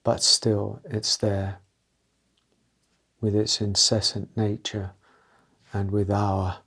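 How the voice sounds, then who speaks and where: A man leading a guided meditation, talking gently and unscripted in short, halting phrases with pauses between them. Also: lowish voice, little pitch movement, slightly rough.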